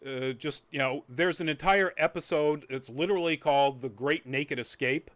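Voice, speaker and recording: A man's voice: on the high side, normal speed, fairly bright tone. A man giving a casual, rambling spoken review as a podcast host, trailing off into asides.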